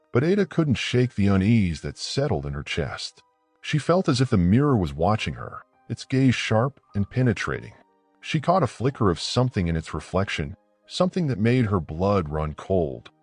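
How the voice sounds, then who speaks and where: Husky, expressive male storyteller. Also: lowish voice, no echo. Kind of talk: scripted dramatic folktale narration.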